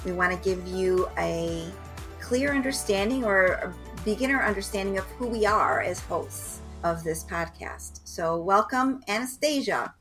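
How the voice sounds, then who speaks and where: A woman's voice: medium pitch, animated, measured pace, a bit bright. Encouraging woman podcast host, faint music. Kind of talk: podcast interview.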